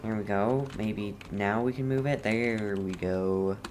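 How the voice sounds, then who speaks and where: Female game streamer: very deep voice, unhurried, casual muttered reactions, faint noise. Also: darkish tone. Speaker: gameplay streamer. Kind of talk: casual game playthrough commentary, reading dialogue aloud.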